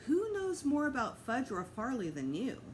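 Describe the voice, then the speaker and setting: A woman voicing a kind, patient young female library clerk in a storybook, soft, soothing and encouraging. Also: high voice, wildly swooping pitch, moderate pace, slight background noise, pitched above the performer's own voice. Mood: soft, coaxing.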